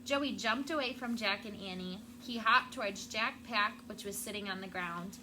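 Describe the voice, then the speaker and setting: A woman's voice: mid-range voice, normal speed. A woman schoolteacher reading a storybook aloud to children. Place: classroom. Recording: clear background noise.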